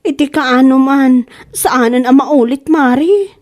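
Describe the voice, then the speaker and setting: A woman's voice: high voice, slow, darkish tone. An actress playing a whiny, gossipy wife who pleads her way out of trouble in a comedy radio drama. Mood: pleading, subdued.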